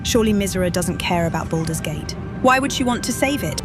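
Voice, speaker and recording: A woman's voice: fairly low pitch, animated, brisk, lightly acted. A woman playing a frank, inquisitive adventurer who questions her companions in a fantasy game. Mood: probing. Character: inquisitive, direct female adventurer, sympathetic companion. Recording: loud dramatic music behind the voice. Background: loud cinematic music.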